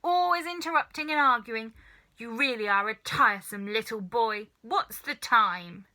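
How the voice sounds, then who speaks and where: A woman's voice: a little high, moderate pace, sharp tone. A woman voicing a cranky, bossy, wicked old grandmother from a children's storybook, snapping and scolding. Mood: snappish, bossy. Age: elderly.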